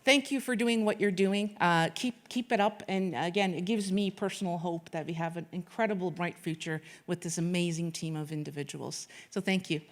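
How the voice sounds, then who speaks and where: A woman's voice: on the low side, moderate pace, few pauses. A woman in her late thirties, from Afghanistan, giving an earnest speech at an event with frequent restarts and fillers. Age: late 30s. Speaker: corporate sponsor speaker.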